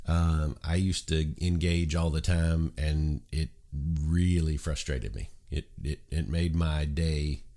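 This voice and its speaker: A man hosting a casual morning chat show, a little congested from a cold, talking in a relaxed, rambling way full of ums and uhs. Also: low-pitched. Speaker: host.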